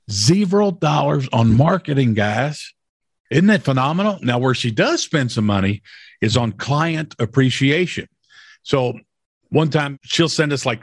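A man's voice: medium pitch, no room sound, studio quality. A man hosting an interview who talks emphatically, repeats his points and often checks in with "okay?". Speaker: interview host. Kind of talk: promotional interview.

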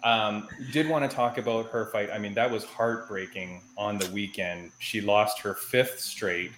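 A man's voice: on the low side, steady tone, measured pace, fairly bright tone, roomy sound, a little hiss or hum, rough recording. A man hosting a casual interview in an upbeat way, with frequent laughs. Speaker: podcast host.

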